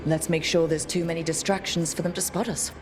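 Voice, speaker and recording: Game casting: actress as a young female team leader, wry yet encouraging, lowish voice, light music underneath. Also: fairly even intonation, a little fast, close to an everyday voice. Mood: resolute. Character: wry, encouraging young woman leading a band of heroes. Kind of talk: video game cutscene acting.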